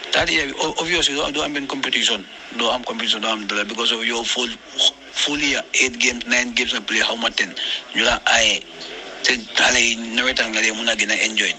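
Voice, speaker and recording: Gambian man, very quick, slightly low voice, emphatic repetition, panel discussion, clearly noisy recording. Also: bright, forceful tone.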